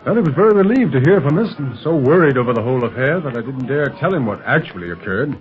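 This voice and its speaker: A level-headed agent leader played by a man speaks calmly and assuredly. His voice sits in a middle male range. The old radio sound is dull and muffled. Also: mid-range voice, fast talker, close to an everyday voice. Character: steady adult male secret agent leader. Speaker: hero's uncle and agent. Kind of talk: 1930s radio acting. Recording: muffled vintage radio recording.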